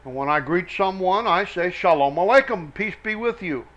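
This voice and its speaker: A man preaching verse by verse, reading scripture aloud in emphatic, halting phrases and breaking off for explanatory asides. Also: high voice, animated, fairly bright tone. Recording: dull, lo-fi sound.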